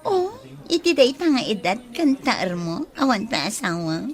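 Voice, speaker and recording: A woman playing a bubbly, scheming young woman in an Ilocano radio drama, giggly and animated. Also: a little high, measured pace. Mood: coy, teasing.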